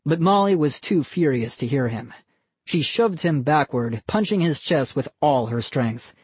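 A man's voice: a little high, animated, unhurried, darkish tone, breathy edge, no echo. A man voicing a furious young girl who screams and wails in a storybook reading. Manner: screaming, wailing.

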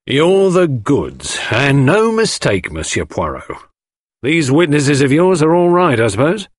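A man voicing a brisk, unflappable English police detective, hearty and colloquial, in an audiobook. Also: fairly high pitch, big pitch swings, breathy edge, dry recording, clean studio sound, pitched above the performer's own voice, heavily acted. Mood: impressed. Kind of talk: audiobook character voice.

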